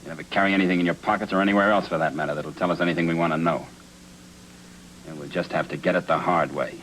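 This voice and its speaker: Deep american noir thug, sarcastic wisecracks and threats, bit of old film noise. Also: male voice, fairly even intonation, fast talker, fairly bright tone. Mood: menacing. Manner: slangy, menacing, wisecracking. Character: tough, sarcastic male gangster henchman. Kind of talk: 1940s film noir radio-style acting. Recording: noisy old film soundtrack.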